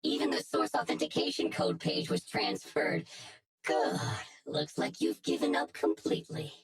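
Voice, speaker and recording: An actress playing a menacing, smug female hacker in a video game, taunting and commanding, with a breathy, gravelly edge. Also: low-pitched, very animated, moderate pace, exaggerated voice. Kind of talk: English video game voice acting.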